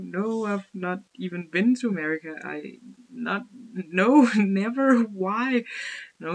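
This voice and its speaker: Young danish girl rambling to her webcam, giggly and self-mocking, mid pitch. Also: rough recording. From Denmark. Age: young adult. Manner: rambling, self-deprecating, giggly, gestures a lot. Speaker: vlogger. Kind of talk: casual webcam vlog. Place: small bedroom indoors. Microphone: built-in webcam mic.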